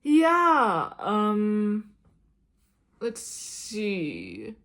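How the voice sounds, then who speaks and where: A woman's voice: mid-range voice, sing-song, drawn-out words, a bit bright. A young adult woman, a classical singer, talking casually to camera in a meandering, chatty way, full of "um" and "like". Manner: chatty, meandering, frequent "um" and "like", light laughs. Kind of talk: rambling casual chat to camera.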